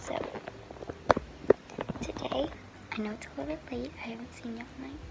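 A young teenage girl chatting casually in a home vlog. Her voice is hoarse and she rambles in a soft, breathy way. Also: high voice, normal speed, noisy background. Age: young teen girl. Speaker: vlogger. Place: bedroom at home. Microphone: phone camera held close.

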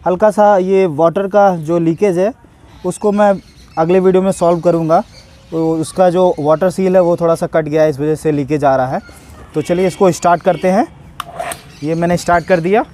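A man's voice: fairly high pitch, warm tone. A young man casually reviewing and demonstrating a pressure washer gun, chatting to his viewers as friends, recorded outdoors with the camera a few steps away. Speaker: product reviewer. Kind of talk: casual product demo. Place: outdoors in a yard, washing a car.

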